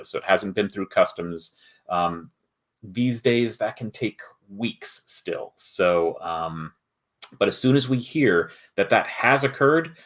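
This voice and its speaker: A man hosting a casual livestream, chatting with viewers in a halting way as he thinks aloud. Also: lowish voice, fairly bright tone. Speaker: host of a board game company livestream.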